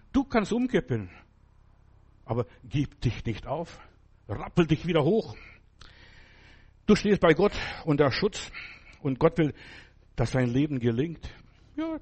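A man's voice: fairly high pitch, darkish tone. An older man preaching a sermon as pastor, German with a Lithuanian accent, emphatic and speaking straight to the listener. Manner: emphatic, addresses listener directly. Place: church hall.

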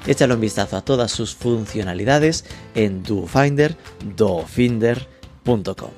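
A man hosting an interview podcast with a steady, scripted delivery. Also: mid-range voice, unhurried, soft background music. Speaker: podcast host.

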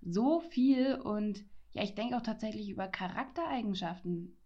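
A woman's voice: medium pitch, moderate pace. A young woman from Franconia in Germany, co-hosting a podcast in a casual, reflective chat. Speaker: podcast co-host. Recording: dull, lo-fi podcast recording.

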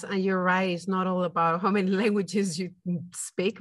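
A woman hosting a podcast interview, upbeat and quick, often laughing as she talks. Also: fairly low pitch, fairly bright tone, no room sound.

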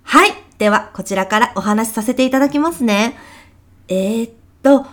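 A woman's voice: a little high, moderate pace, natural voice. A woman playing a chirpy, polite but gossipy kindergarten teacher in a comedic radio drama. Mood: bright, polite. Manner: polite, effusive, animated. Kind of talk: comedic short radio drama, phone meeting.

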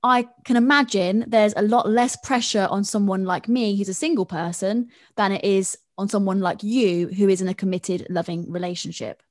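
A woman's voice: mid-range voice, fairly even intonation, normal speed, few pauses. A woman in her twenties with a mid-range voice. She speaks in an informal, sympathetic way, like a podcast host in casual conversation.

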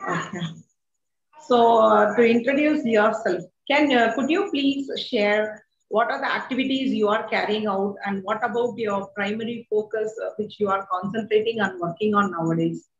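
A woman's voice: mid-range voice, moderate pace. A woman co-hosting an online talk show, formal and hesitant with many uhs. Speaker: co-host. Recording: echoey, dull lo-fi sound.

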